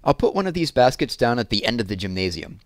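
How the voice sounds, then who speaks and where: A man's voice: medium pitch, very animated, quick pace, no room sound. Man plays eager young male gym instructor, storybook dialogue; earnest, emphatic, dry sound. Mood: friendly, brisk.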